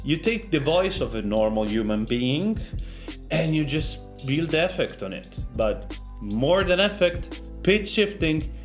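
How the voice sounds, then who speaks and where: A man with a fairly high voice and non-native English explains sound design. He is informal and jokes at his own expense. Music plays faintly behind him. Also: big pitch swings, a little slow. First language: not English. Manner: joking, self-deprecating, informal. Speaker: sound designer, tutorial host. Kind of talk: informal video tutorial.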